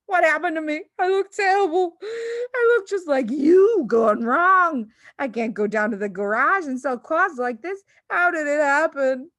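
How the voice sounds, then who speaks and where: A loud, blustering storybook father, acted out by a woman reader, high and panicky. The delivery swoops widely as he shouts and wails, vain and quick to lose his temper. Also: animated, no echo, studio quality. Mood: horrified, wailing. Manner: shouting, wailing, exclaiming.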